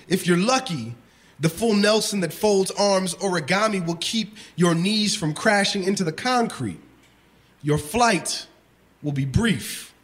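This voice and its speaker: Slow, deliberate spoken-word poet. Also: male voice, sits high, a bit bright. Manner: slow, deliberate, measured delivery. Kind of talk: spoken word poetry reading.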